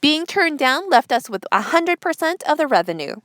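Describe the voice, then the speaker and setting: High-voiced woman reading with lively intonation. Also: moderate pace, dry recording, studio quality. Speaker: host reading articles aloud. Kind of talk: scripted narration, read-aloud news feature.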